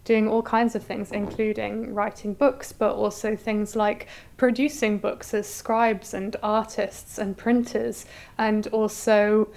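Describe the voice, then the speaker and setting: Smooth-voiced woman explaining in an interview. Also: mid-range voice, flat delivery, takes it slowly, darkish tone. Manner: articulate, explanatory. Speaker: curator being interviewed.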